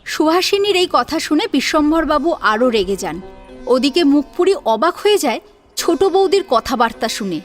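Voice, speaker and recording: A woman narrates a dramatic audio story. Her voice is very high and moves widely as she poses expressive rhetorical questions. Music plays faintly underneath. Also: normal speed.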